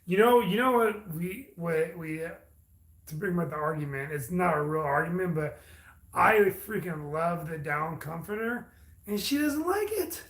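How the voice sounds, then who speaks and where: A man's voice: a little high, expressive, moderate pace, fairly bright tone, echoey room. A man co-hosting a casual live chat with his fiancée, laid-back with joking asides. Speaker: co-host, host's fiancé.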